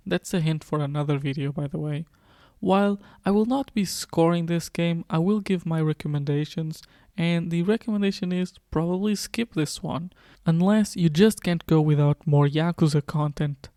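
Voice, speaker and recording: A man narrates a game review in a fairly high, smooth voice. His delivery is measured and matter-of-fact, like someone reading from a script. The sound is close and dry, with very little room around it. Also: warm tone, no room sound.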